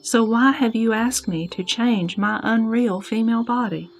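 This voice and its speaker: A woman playing a serene, wise heavenly goddess in a scripted sutra audio drama, teaching in a measured, declamatory way. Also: fairly high pitch. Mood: calm, instructive. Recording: background music under the voice.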